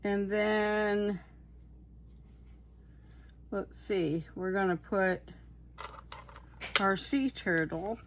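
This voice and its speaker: A hoarse woman who is losing her voice, chatting casually and unhurriedly as she makes bead crafts on a vlog. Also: medium pitch, extremely slow, long pauses, slight background noise. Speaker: craft vlogger.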